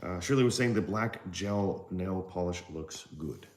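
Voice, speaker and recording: A man with a very low voice co-hosts a casual livestream. He is laid-back and jokey, and he reads viewer comments aloud between bits of chat. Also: a little slow, a little hiss or hum. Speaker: co-host reading viewer comments.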